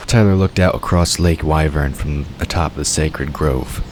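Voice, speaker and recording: Very deep-voiced male storyteller. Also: noisy background. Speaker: narrator. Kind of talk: scripted fiction narration.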